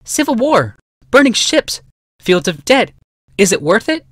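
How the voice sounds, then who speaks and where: A man's voice: sits high, very animated, a little slow, a bit bright, breathy edge, dry recording, studio quality, voice pushed up, heavily acted. A male narrator voicing an idealistic noblewoman who questions and pleads. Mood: distressed. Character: troubled, defiant noblewoman, idealistic architect.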